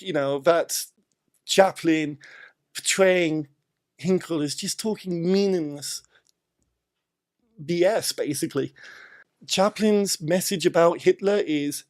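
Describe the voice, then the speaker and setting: A man with a British accent, talking through a film in a casual discussion, explaining and repeating words for emphasis. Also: fairly high pitch. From Britain.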